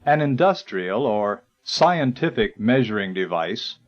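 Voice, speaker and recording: Male narrator for an instructional guide: formal, unhurried, widely varied intonation, dull 1980s tape sound. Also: mid-range voice. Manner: formal, measured, articulate delivery. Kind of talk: scripted educational narration.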